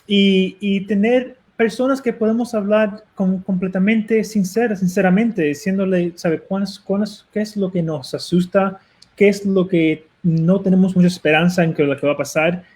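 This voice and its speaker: A man from Cuba with a Cuban Spanish accent, a pastor speaking earnestly as a talk show guest, halting with many restarts as he searches for words. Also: high voice, a little mellow.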